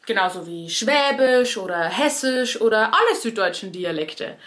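A woman's voice: medium pitch, sing-song, moderate pace, fairly bright tone. A young woman with a Bavarian accent, a cabaret artist explaining language history to radio listeners in a wry, direct way. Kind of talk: scripted radio segment talking to listeners.

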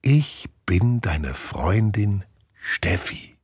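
A man voicing a schoolgirl who has been turned into a flying squirrel, talking in an excited, rushed way. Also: fairly low pitch, music in the background, exaggerated voice. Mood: eager, urgent. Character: girl turned into a flying squirrel, hurried and eager.

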